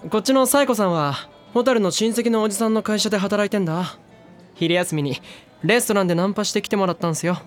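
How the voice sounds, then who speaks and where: A man's voice: sits high, fast, soft background music, close to an everyday voice. A man voicing a brash teenage boy who talks in a laid-back, slangy way. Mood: easygoing. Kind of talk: anime drama CD acting.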